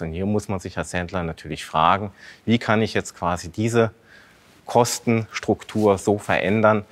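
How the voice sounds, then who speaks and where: A man in his thirties explaining things as an expert panel guest, conversational and structured, posing rhetorical questions. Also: sits low. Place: large dark indoor studio showroom.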